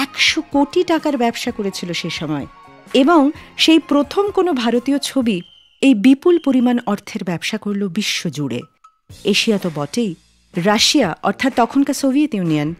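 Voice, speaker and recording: A woman hosting a radio show, reading scripted narration. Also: a little high. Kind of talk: scripted radio narration about film music.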